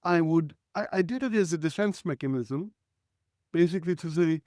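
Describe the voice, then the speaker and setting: A man whose first language is Hebrew talks in a smooth, high voice, thinking aloud and restarting his sentences. He clears his throat now and then. The sound is close and dry. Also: moderate pace, darkish tone, no echo. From Israel. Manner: articulate, reflective, with frequent restarts and throat clearing. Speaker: guest. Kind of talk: conversational storytelling.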